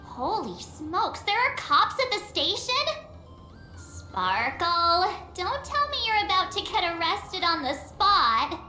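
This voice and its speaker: A woman's voice: very high voice, big pitch swings, takes it slowly, bright, forceful tone, heavily acted. A woman playing a brash, playful young tour guide in a video game, with a theatrical, teasing manner. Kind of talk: animated video game character dialogue. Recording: roomy sound over background music.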